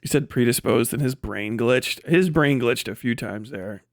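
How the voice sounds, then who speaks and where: A man hosting a livestream, giving casual, profanity-laden political commentary in a rambling, animated manner. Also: mid-range voice, moderate pace, no echo, studio quality. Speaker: livestream host.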